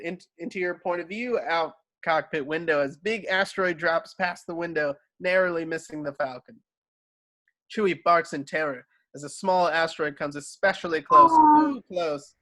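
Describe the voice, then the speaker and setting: Male narrator for a script read-through, slightly high voice, reading scene directions. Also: pushed and bright.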